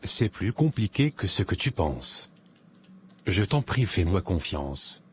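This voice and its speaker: Guy voicing vain broke young office dude in a cartoon, low voice, some noise in the background. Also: very animated, takes it slowly, heavily acted. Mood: pleading. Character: vain, broke young office man living beyond his means. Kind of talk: animated moral tale dialogue.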